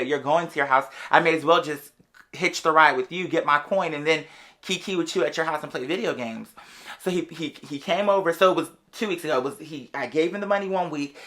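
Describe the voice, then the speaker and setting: A podcast host telling a personal story in a casual, animated, sassy way, full of slang and drawn-out emphatic words. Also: medium pitch. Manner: animated, sassy, slangy, addressing listeners as "girl". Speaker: podcast or live show host. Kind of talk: casual storytelling monologue to an audience.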